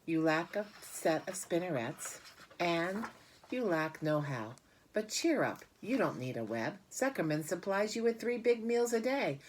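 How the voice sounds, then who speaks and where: A woman's voice: fairly low pitch, very animated, measured pace, a little hiss or hum, pitched above the performer's own voice, low-quality recording. A woman voicing a clever, patient female spider in a storybook, instructing frankly and matter-of-factly. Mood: frank, consoling.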